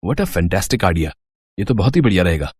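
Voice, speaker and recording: A man acts an eager, easygoing young husband in a cartoon tale. His delivery is earnest, with very varied pitch and a close, dry sound. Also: sing-song, normal speed, a little mellow, husky, dry recording, full character voice. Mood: agreeable. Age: young adult. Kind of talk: animated moral story voice acting.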